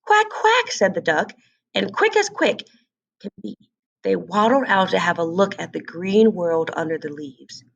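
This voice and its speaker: A woman's voice: fairly low pitch, lively intonation, measured pace, creaky, slightly rough, dull audio. A woman storyteller expressively narrating a scripted children's story.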